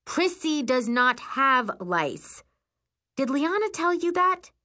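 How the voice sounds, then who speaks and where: A woman's voice: high-pitched, big pitch swings, takes it slowly, sharp tone, voice pushed up, heavily acted. A woman voicing a scornful teenage older sister in an audiobook, indignant and dramatic.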